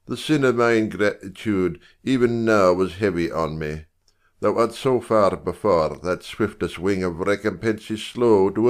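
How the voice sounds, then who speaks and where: An actor playing a gracious, dignified older king in a dramatic Shakespeare reading. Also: fairly low pitch.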